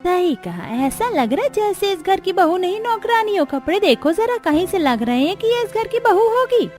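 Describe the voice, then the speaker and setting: A woman's voice: very high voice, sing-song, moderate pace, a little mellow. A woman voicing a spiteful, mocking neighbourhood gossip in a cartoon. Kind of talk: Hindi cartoon voice acting.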